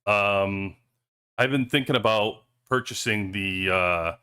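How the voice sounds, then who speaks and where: Bright-voiced chatty male stream host. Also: on the low side, slow pace, clean tone. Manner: rambling, joking asides. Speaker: livestream host. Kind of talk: casual chat. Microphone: cheap headphones headset.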